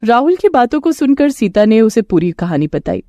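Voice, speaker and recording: A woman storyteller reading a scripted moral tale in an expressive, dramatic way. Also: a little high, normal speed, dry recording, studio quality.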